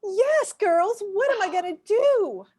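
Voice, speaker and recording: A woman acts out a fretful, melodramatic lady in a parody TV show. She speaks slowly in a high voice with lively swings in pitch. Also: exaggerated voice. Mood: dramatic, fretful. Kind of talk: improvised comedy parody.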